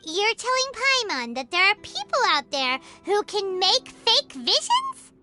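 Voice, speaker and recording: Super high bubbly little game sprite, calls herself by name, bright and slow. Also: female voice, huge pitch swings, faint music behind, full character voice. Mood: curious chirpy. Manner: talks about herself in third person, bubbly. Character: tiny floating female companion creature, excitable. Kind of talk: video game voice acting.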